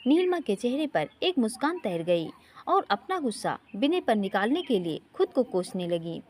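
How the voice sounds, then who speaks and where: A woman's voice: a little high, sing-song, normal speed, darkish tone. A woman reading a scripted, emotional love story as a narrator, now and then stumbling over a word.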